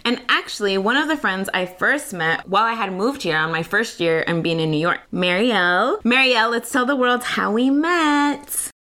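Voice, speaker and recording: A woman's voice: fairly high pitch, moderate pace, hardly any pauses, bright, forceful tone. A young woman hosting a podcast, chatting casually and laughing often, with lots of "like" in her speech. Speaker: podcast host. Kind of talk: casual chat.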